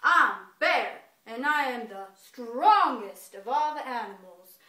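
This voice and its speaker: Woman acting out a loud, boastful storybook bear. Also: animated, slow, heavily acted. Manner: booming, declamatory bragging. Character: big, boastful, stubborn bear, proud and loud. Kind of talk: children's folktale storytelling. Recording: echoey room, distant microphone.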